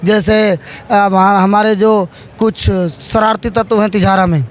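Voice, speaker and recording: A man answering interview questions as a politician, halting and repeating phrases as he goes. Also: high voice, fairly even intonation. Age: 30s.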